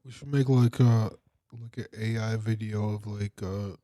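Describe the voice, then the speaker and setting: A man's voice: on the low side, steady tone, moderate pace, dark tone, dry recording. A man co-hosting a comedy podcast, tossing in dry one-line quips during casual studio banter. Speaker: podcast co-host.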